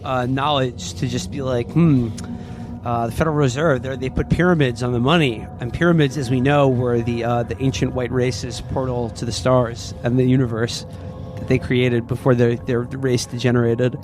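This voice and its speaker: A man's voice: medium pitch, flat delivery, normal speed, music in the background. A man playing along in a tabletop game, improvising comic role-play and often repeating his phrases.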